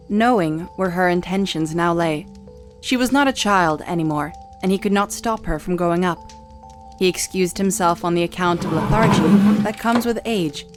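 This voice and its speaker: Female narrator for a fantasy saga, lowish voice, scripted dramatic storytelling over soft music.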